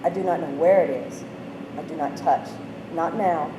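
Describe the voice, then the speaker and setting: A woman's voice: low voice, moderate pace. A woman delivering a dramatic monologue in short, clipped sentences. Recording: echoey, noisy room, distant mic.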